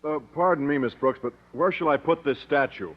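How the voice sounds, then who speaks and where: A man's voice: fairly high pitch, fairly quick, loud music behind the voice, close to an everyday voice. A man playing a mild, naive, good-natured schoolteacher in a 1950s radio sitcom. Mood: friendly. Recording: dull, noisy 1950s radio recording.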